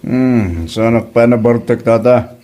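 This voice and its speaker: A mischievous village buddy with an Ilocano Filipino accent and a medium-pitched male voice, acted out by a man. He talks in teasing comedy-drama banter. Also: measured pace, dark tone, close to an everyday voice.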